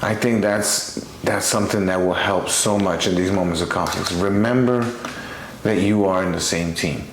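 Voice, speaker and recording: A man with a fairly low voice speaks in an earnest, exhorting way, as in a conversational podcast. He repeats phrases for emphasis. The room is noticeably echoey, with faint noise behind him. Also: fairly even intonation, moderate pace. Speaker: podcast co-host. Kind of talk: conversational faith and marriage podcast talk.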